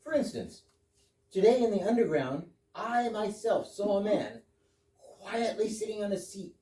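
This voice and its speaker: A man's voice: high voice, measured pace, mellow. A man acting a pompous husband in a staged comedy reading, explaining things with theatrical emphasis. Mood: eager. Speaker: amateur readers theater actor. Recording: echoey room, far mic, background noise.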